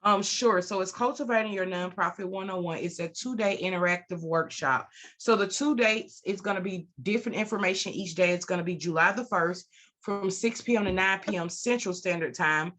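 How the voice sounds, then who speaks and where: A woman's voice: medium pitch, fairly quick, a bit bright. A woman speaking as an interview guest, a consultant and trainer explaining and promoting her services over a video call.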